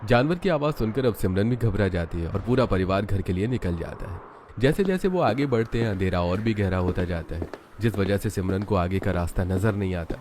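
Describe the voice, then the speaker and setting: Low-pitched male story narrator. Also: animated, brisk, darkish tone, slight background noise. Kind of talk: scripted cartoon story narration.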